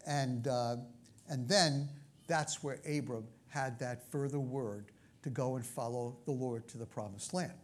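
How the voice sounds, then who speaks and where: A man's voice: medium pitch, darkish tone. A man from Brooklyn with a New York accent, teaching a sermon in a conversational way with frequent asides and check-ins to the listeners. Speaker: pastor.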